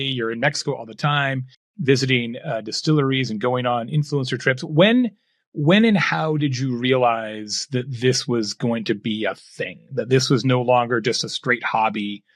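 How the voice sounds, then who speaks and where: A man's voice: mid-range voice, no room sound. Thoughtful male podcast host, dry mic. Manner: thoughtful, affirming, occasional restarts. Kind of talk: conversational interview hosting.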